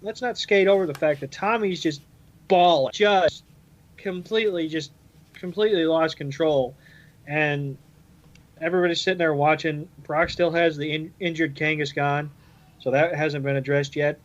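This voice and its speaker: A man's voice: on the high side, fairly even intonation, normal speed, lo-fi sound. A man chatting casually on a podcast panel, recapping and explaining things step by step. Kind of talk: casual episode recap discussion.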